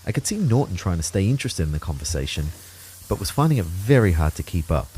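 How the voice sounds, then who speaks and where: A man's voice: deep voice, sing-song, quick pace, mellow, a little hiss or hum, rough recording. A man playing an anxious, introspective man who narrates his own story in a reflective, wry way for an audio drama. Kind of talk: first-person scripted audio drama narration.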